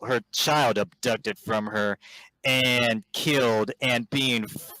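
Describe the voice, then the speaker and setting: A man speaking as a vegan activist in a voice-chat debate, halting with frequent restarts and ums. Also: mid-range voice, bright, forceful tone, no echo, low-quality recording. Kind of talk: debating on a voice call. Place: online voice chat.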